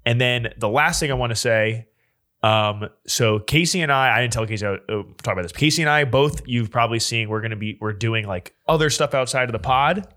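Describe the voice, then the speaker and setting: Bright-voiced sniffly male podcaster. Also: medium pitch, a little fast. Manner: jokey, easily grossed out. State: sniffly, slightly stuffed up. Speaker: podcast co-host. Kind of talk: casual chat.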